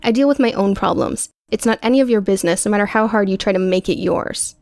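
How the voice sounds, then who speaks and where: A woman voicing a stubborn, stressed schoolgirl in an audiobook, speaking in a low, tense, reluctant manner. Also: fast, creaky, darkish tone, no echo, clean studio sound, close to an everyday voice. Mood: hissing anger.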